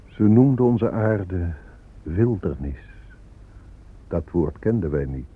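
An actor playing a grave Native elder who speaks deliberately, like a solemn refrain, in a poetic radio play. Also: male voice, low voice, lively intonation, husky, exaggerated voice. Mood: grave, wounded pride. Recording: narrow phone-like audio with music behind.

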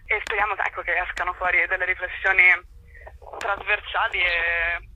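A woman's voice: on the low side, level tone, normal speed, bright, forceful tone, breathy edge, lo-fi sound. A young woman speaking conversationally as a radio interview guest, explaining things while searching for words. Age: young adult. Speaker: cultural association spokesperson, interview guest.

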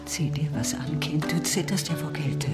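A woman's voice: low-pitched, normal speed, close to an everyday voice. A woman voices a devout older mother who begs and worries over her family. Plucked guitar music plays beneath her. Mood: anxious. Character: pious older Harlem mother. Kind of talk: radio play acting.

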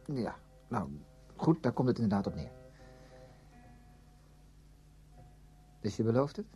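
An actor playing a brooding, guilt-ridden man in a Dutch radio drama, speaking in a measured, introspective, hesitant way. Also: mid-range voice, big pitch swings, mellow, soft background music, lightly acted. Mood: uneasy, probing. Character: brooding, guilt-ridden man narrating his past, protective. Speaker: narrator and lead character. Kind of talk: Dutch radio drama acting, confessional narration.

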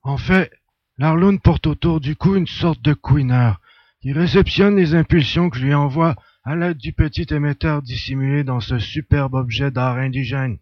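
A man's voice: a little high, normal speed, no echo, natural voice. Man playing confident hunter, slightly dull sound. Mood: assured, explaining. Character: confident male hunter with alien blood brother, ironic. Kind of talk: audio drama dialogue.

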